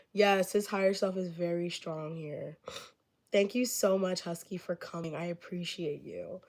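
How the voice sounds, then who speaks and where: A woman's voice: fairly low pitch, fairly even intonation, slow pace, long pauses, sharp tone. Rambling woman tarot reader, voice fading. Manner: rambling, heavy with filler words; gushing thanks to viewers. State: losing her voice from illness. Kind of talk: live-stream tarot reading.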